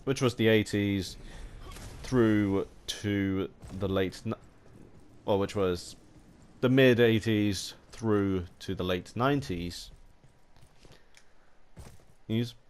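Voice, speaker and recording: A man giving unscripted, rambling commentary as a gaming video host, halting and often restarting his sentences mid-word. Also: on the low side, a little mellow, smooth. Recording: home recording with background noise.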